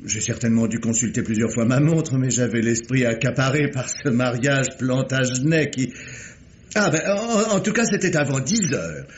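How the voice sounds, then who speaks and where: An actor playing a nervous, genteel historian in an old radio drama, stammering and hesitant. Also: male voice, mid-range voice, expressive, normal speed, lightly acted. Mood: flustered. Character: fussy scholarly male historian, nervous. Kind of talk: 1950s French radio drama acting. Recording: dull old radio recording with faint noise.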